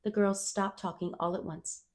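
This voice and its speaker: A woman reading a children's storybook aloud as scripted narration, expressive and clearly phrased. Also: mid-range voice, moderate pace. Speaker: storyteller, reader.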